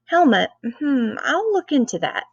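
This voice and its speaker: A woman's voice: sits high, big pitch swings, measured pace, no echo, pitched above the performer's own voice, full character voice. A woman voicing a tough older nun who coaches riding with blunt, urging commands, as in a storybook reading. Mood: brisk. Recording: dull, lo-fi close recording.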